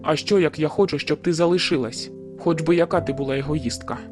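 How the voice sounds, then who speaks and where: A man's voice: fairly high pitch, a little fast, over music, lightly acted. A man voicing a hurt, bitter young man in an audiobook, who speaks bluntly and wearily. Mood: pleading. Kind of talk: audiobook dialogue.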